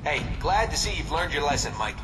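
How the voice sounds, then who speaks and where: Unhinged, high-pitched gleeful secret agent. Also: male voice, big pitch swings, brisk, recorded from a distance, background noise. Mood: playful menace. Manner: theatrical, playful swings between menace and childlike glee. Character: unhinged, gleefully paranoid male covert agent with cartoonish bravado. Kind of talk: video game voice acting.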